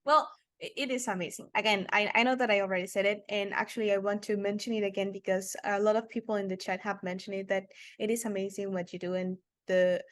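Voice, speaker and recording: A woman's voice: medium pitch, fairly even intonation, quick pace, fairly bright tone. A woman hosting a casual livestream interview, speaking in an effusive, admiring way. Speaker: interviewer, show host.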